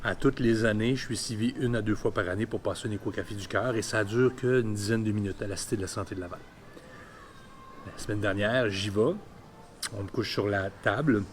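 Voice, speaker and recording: A man's voice: fairly low pitch, fairly even intonation, fairly quick, slight background noise. A man of about fifty with a Quebec French accent, telling a personal story candidly and colloquially in a vlog. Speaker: vlogger.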